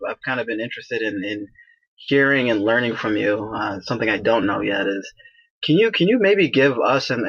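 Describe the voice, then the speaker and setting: A man's voice: medium pitch, a bit bright, no room sound. Rambling man from Canada on dull audio. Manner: rambling, with many restarts and "you know". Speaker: podcast host. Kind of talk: casual podcast interview.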